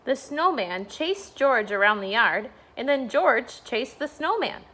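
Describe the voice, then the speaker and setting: A woman's voice: fairly high pitch, sing-song, measured pace, pushed and bright, rough recording. A woman, a school staff member, reading a bedtime storybook aloud for children.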